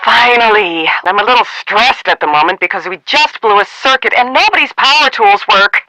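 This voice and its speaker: An actress playing a stressed, bossy film crew member in a mystery game, curt and impatient. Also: female voice, a little high, animated, normal speed, bright, forceful tone, no room sound, rough recording. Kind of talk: scripted game dialogue.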